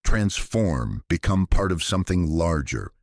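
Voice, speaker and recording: A man plays a chorus of starved undead patients who speak as one. Their talk is sinister and coaxing, pitched up from a deep base voice. The recording is dry and close. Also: big pitch swings, a little slow, no room sound, full character voice. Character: hungry undead asylum patients, whispering as one.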